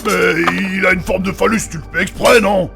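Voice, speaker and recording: An actor playing a bragging, foul-mouthed wounded fantasy warrior in a comic audio drama, with a blustering, slangy manner. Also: male voice, high voice, steady tone, fairly quick, creaky, music in the background. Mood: indignant.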